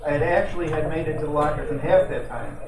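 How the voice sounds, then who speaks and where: A man's voice: on the high side, steady tone, fast talker, close to an everyday voice. A man playing a wisecracking private detective who narrates his case in an old-time radio drama. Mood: wry storytelling. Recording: roomy, distant mic, noisy amateur recording.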